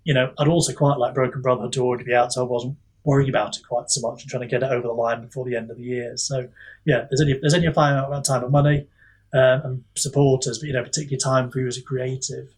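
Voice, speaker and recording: A man with a husky voice talking candidly and at length as an author guest in a casual chat. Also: medium pitch, little pitch movement, quick pace, rough recording.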